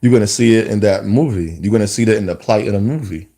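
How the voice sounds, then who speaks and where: A man in his thirties speaks in a low, warm register, thinking out loud to his viewers. His delivery rambles and doubles back with frequent restarts, an unscripted, reflective monologue. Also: fast talker. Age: 30s. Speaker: vlogger. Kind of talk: casual spoken monologue.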